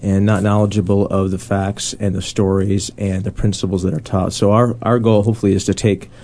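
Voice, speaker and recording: Low, mellow man with an even tone. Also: brisk. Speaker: panelist on a Bible study discussion. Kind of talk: casual explanatory discussion.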